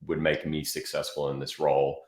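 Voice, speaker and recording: A man's voice: very deep voice, monotone, normal speed, a little mellow. A man speaking as an interview guest, explaining things step by step in a conversational way. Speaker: interview guest, product marketing executive. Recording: roomy, echoey sound.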